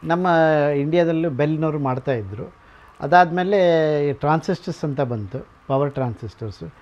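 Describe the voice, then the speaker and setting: Higher-voiced man explaining, dull recording. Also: animated, normal speed, a little mellow. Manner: mixes English words and phrases into speech. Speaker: radio museum owner giving a tour. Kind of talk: informal explanatory tour talk.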